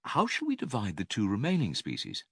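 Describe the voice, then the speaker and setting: An agreeable pupil in a classical philosophical dialogue answers with formal deference. His intonation rises and falls very freely. The voice has a husky grain and a dry, close sound. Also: on the low side, huge pitch swings, brisk, no room sound, heavily acted. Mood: attentive, deferential. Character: agreeable, attentive male pupil in philosophical debate. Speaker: audiobook actor reading philosophical dialogue. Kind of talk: dramatised classical dialogue reading.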